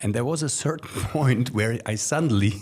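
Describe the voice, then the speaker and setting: A man's voice: mid-range voice, normal speed, a little mellow, slightly rough. A man with a mid-range voice and a German accent, hosting a podcast in English. He speaks casually and hesitantly, stopping for fillers and repeating himself. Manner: hesitant, many ums and restarts. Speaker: podcast host. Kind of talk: casual conversational interview.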